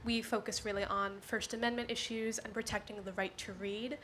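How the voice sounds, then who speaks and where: A woman's voice: mid-range voice, flat delivery, brisk, a bit bright, a little hiss or hum, low-quality recording. A woman in her twenties speaking as a panelist, polite and articulate. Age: 20s. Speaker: nonprofit program coordinator, panelist. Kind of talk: panel introduction and discussion. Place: community arts center before a live audience.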